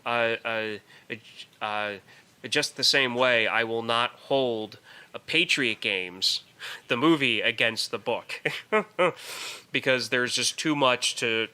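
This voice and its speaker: Man, casual film talk, opinionated, emphatic, forward bright tone, smooth clean voice, stop-start phrasing. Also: mid-range voice. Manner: emphatic, opinionated, halting with repeated words. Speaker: podcast co-host.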